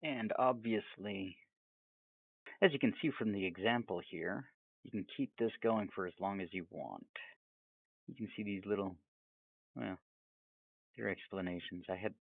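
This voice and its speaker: A man giving a casual, off-the-cuff software tutorial, rambling along with chatty, self-amused asides. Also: fairly low pitch, a little slow, gravelly, pauses often, no echo, narrow, tinny audio. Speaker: tutorial presenter.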